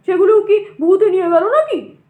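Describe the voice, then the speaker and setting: A woman's voice: very high voice, animated, normal speed, reverberant, narrow, tinny audio, voice pushed up. A woman voicing a stout, talkative, sceptical male station master in an audio drama, blustery and full of exclamations. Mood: loud dismissive. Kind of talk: dramatized audio story dialogue.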